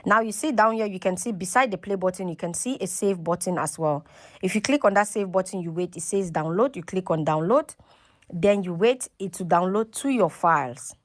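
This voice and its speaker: A woman's voice: on the low side, a little fast. A woman hosting a casual step-by-step tutorial, talking instructionally and often checking in with "okay" and "right". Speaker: tutorial host.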